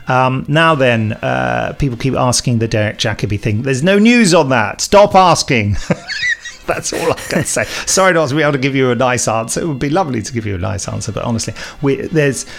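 A man's voice: medium pitch, animated, normal speed, creaky. A middle-aged man hosting a podcast in casual chat, reading listener emails with wry, bantering asides. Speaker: podcast host, audio drama director and composer.

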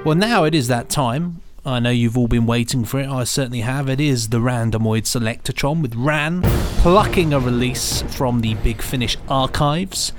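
A man's voice: mid-range voice, moderate pace, few pauses, music in the background. Man, mid-range voice, casual presenter, chirpy banter, faint musical bed. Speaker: podcast co-host.

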